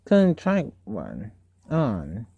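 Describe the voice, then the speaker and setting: A man reading as a mellow, sly old uncle. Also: on the low side, wildly swooping pitch, drawn-out words, vocal fry, husky, dry recording, muffled recording, exaggerated voice. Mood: coaxing. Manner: cunning, wheedling, self-pitying. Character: sly, eccentric older uncle, falsely genial storybook villain.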